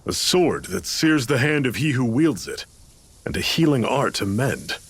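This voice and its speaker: An actor playing a resolute resistance fighter in a video game, articulate and authoritative. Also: male voice, mid-range voice, very animated, unhurried, husky, heavily acted. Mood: concerned, wondering. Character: grave, resolute male resistance fighter leading his comrades. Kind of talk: dramatic video game voice acting.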